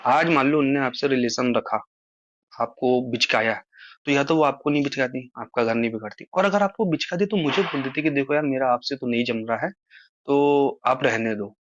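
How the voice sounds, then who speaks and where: Man, medium-pitched voice, casual phone talk, wordy and appealing, muffled recording. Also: normal speed, dry recording. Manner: talks at length, explaining and appealing to the listener. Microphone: phone call.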